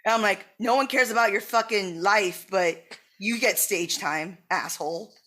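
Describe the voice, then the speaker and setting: A woman's voice: mid-range voice, normal speed, bright, forceful tone. A woman comedian from Miami, talking casually as a podcast guest, brash and joking with plenty of swearing. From Miami, USA. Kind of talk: casual chat.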